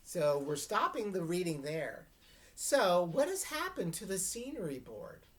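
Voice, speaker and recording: A woman's voice: fairly low pitch, sing-song, unhurried. A woman teacher reading a novel aloud to a class, explaining as she goes and checking understanding with questions. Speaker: English teacher. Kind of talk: dramatic classroom read-aloud with questions to students.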